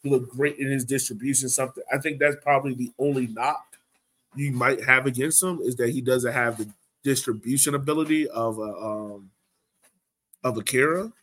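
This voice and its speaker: A man's voice: mid-range voice, steady tone, normal speed, a bit bright. Casual man hosting a sports podcast. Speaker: podcast host.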